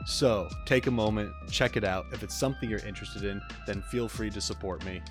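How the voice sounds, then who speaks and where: A man's voice: on the low side, fairly quick, music in the background. A man giving casual, slangy gameplay commentary as a streamer in an offhand manner. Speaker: video game streamer.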